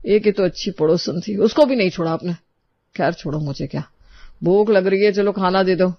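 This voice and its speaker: A woman voicing a long-suffering, mildly exasperated husband in an animated family drama. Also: medium pitch, fairly quick, no echo, highs cut off, natural voice.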